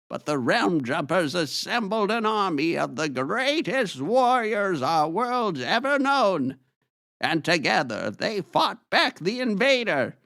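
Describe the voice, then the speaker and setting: A man playing a grave, theatrical old wizard in a fantasy drama, speaking in deliberate pronouncements. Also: sits high, gravelly, sharp tone, no echo, clean studio sound, exaggerated voice. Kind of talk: fantasy audio drama acting.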